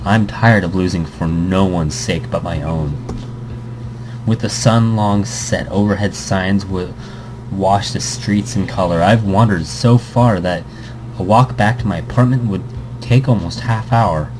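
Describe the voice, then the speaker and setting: Male let's play host, low voice, reads the story aloud and stumbles over words, loud air conditioning hum. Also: a little slow. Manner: stumbles and restarts words, chuckling asides. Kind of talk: reading a visual novel aloud with commentary.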